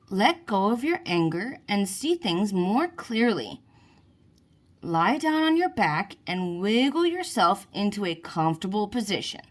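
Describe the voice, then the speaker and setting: A gentle young sea child from a storybook, as a woman reads the part, coaches in a soothing way. The words come slowly, with lively rises and falls in pitch. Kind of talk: children's storybook character reading.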